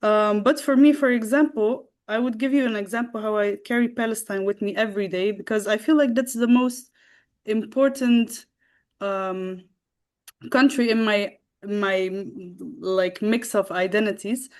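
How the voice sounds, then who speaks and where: Young female guest, from the Netherlands, middling pitch, halting and full of fillers. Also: steady tone. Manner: halting, with many fillers and restarts. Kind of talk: casual storytelling.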